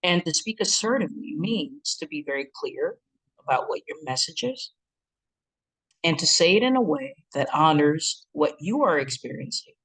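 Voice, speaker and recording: Deep booming chest voice, lively teaching talk about getting along. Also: moderate pace, husky, poor audio. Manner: lively, breaks into acted quotes and laughs. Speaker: relationship educator. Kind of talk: instructional talk to camera.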